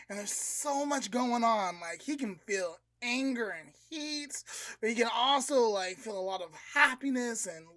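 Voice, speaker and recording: A man co-hosting a podcast, telling a long story in a casual, animated way, full of "like" and acting out voices. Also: extremely high pitch, no echo. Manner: animated, filler-heavy, acts out voices. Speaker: podcast co-host.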